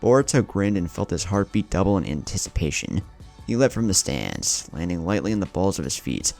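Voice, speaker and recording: A male narrator reads scripted adventure fiction in a dramatic manner. His voice is low and gravelly, with lively movement in pitch as he tells the story. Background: music bed.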